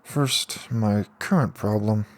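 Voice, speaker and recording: A man's voice: fairly low pitch, slow pace, a little hiss or hum. A man voicing a frustrated, self-mocking young man in an audiobook, muttering and complaining. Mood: musing. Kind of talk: audiobook character reading.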